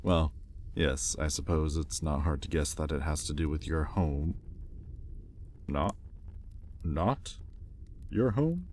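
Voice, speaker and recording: Demon captor for audio roleplay, male actor: very deep pitch, sardonic and teasing, arrogant, curious, intimate delivery, slight background noise. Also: animated, slow, pauses often, a little mellow, exaggerated voice. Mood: puzzled, intent. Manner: sardonic, teasing, conversational with swearing.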